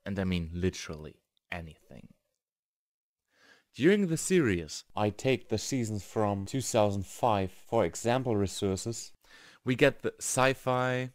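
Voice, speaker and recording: A man's voice: on the low side, lively intonation, a little slow, no echo, clean studio sound. A man narrating a scripted review in English as the host. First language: German.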